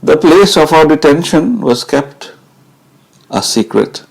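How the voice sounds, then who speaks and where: Male memoir reader: creaky, unhurried, measured first-person storytelling with dramatic pauses. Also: a little high, very animated, rough recording. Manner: measured, deliberate, with pauses between phrases. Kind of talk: dramatised scripted narration.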